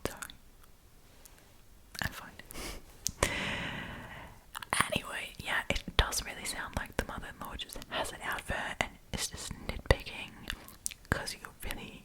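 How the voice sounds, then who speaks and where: A woman whispering in a casual, chatty, giggly ASMR storytime style, as host reading and commenting. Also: low-quality recording.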